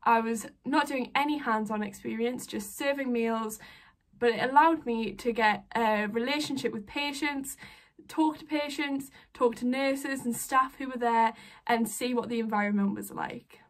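Young woman, kinda high voice, student casually giving tips from her bedroom. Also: normal speed. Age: young adult. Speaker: medical student giving advice. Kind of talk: casual advice to camera. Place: small bedroom, indoors.

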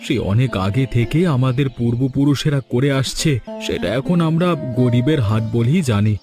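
একজন পুরুষ কার্টুনে এক স্নেহশীল, দায়িত্বশীল গ্রামের কুমোর বাবার চরিত্রে আন্তরিকভাবে বুঝিয়ে কথা বলছেন।. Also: male voice, mid-range voice, moderate pace, natural voice. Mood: earnest, organizing. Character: responsible, caring village potter and father. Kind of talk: Bengali cartoon acting. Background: background music.

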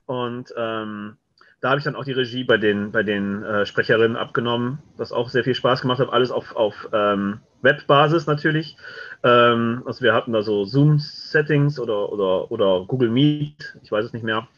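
Male interview guest, sharp bright tone, searches for words, slightly muffled online-call sound. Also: medium pitch, moderate pace. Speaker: radio play director and interview guest. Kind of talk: casual interview chat. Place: home, video call. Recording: slightly dull video call audio.

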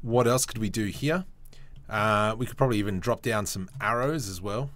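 A young man hosting a casual software tutorial, chatty and self-mocking as he thinks aloud while he works at a desk mic. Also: on the low side, normal speed. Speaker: 3D modeling tutorial host.

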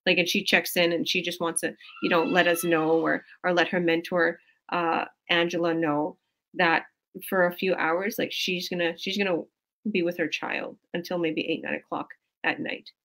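A woman's voice: fairly low pitch, little pitch movement, moderate pace, fairly bright tone. A woman, a coach hosting a team video call in a small room at home, talking in a rambling, effusive way with many restarts. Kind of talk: casual motivational chat. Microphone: video call webcam.

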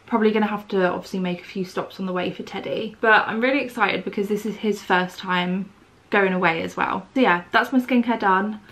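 A young woman in her twenties, husky from feeling unwell, chatting casually to a handheld camera with lots of fillers. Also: medium pitch, fairly even intonation, a little fast, fairly bright tone. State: unwell, voice going. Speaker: vlogger. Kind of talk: casual vlog chat to camera. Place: bedroom indoors, then outdoors in countryside. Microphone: handheld camera at arm's length.

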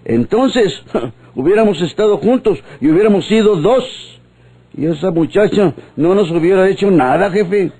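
An actor playing a crafty, obsequious Mexican police subordinate in a radio serial, with a husky voice. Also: male voice, high voice, lively intonation, moderate pace, dark tone, heavily acted. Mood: sly, defensive. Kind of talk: old radionovela acting.

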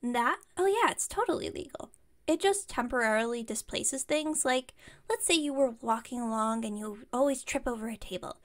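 A woman's voice: on the high side, moderate pace, lightly acted. A woman playing an enthusiastic, slightly incompetent witch shopkeeper in an audio roleplay, rambling on in a friendly, bubbly way. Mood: curious, rambling. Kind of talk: ASMR roleplay audio, one-sided chat with listener.

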